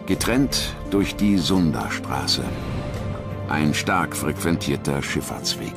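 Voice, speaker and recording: A man narrates a documentary in a very low voice. His delivery is grave and measured, with scripted drama. Clear music plays behind him. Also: animated. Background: background music.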